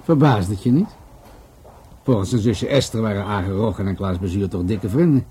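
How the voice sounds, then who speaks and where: An actor playing a measured, pensive police detective in a radio drama, turning phrases over as he questions. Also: lowish voice, mellow, slight background noise, lightly acted. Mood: probing. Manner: ruminative, repeats phrases slowly.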